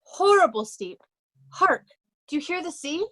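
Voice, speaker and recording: A woman's voice: high voice, sing-song, measured pace, pushed and bright, no echo, heavily acted. A woman playing a young nobleman in peasant disguise, urgently and vividly guiding, in an amateur Shakespeare reading. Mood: insistent. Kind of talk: amateur Shakespeare reader's theatre.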